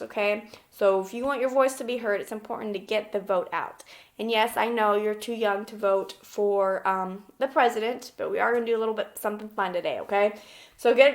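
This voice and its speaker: A woman elementary school teacher reading aloud to young children and explaining things simply, often checking in as she gives instructions. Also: a little high. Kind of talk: children's read-aloud lesson with questions.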